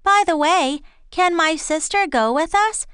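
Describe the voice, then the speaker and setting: A woman reading scripted dialogue and answer options for an English listening test, slow and clearly enunciated. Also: extremely high pitch, a bit bright, no echo, studio quality.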